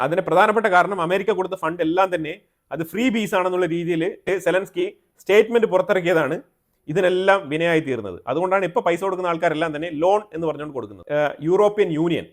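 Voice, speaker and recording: A man's voice: high-pitched, fast talker. A man with a Malayali accent presenting explanatory news commentary, often rephrasing himself and mixing in English terms.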